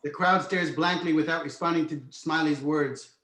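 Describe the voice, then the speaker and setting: A man narrates a screenplay, reading out scene headings and stage directions. His voice sits a bit high, and the room rings with echo. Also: fairly even intonation, moderate pace, bright, forceful tone. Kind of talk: scripted narration, screenplay table read. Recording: roomy, echoing home recording.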